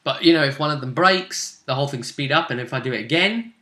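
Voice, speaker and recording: A man chatting casually as a game master, recounting a tabletop session like an animated storyteller. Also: medium pitch, fast. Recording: echoey untreated room.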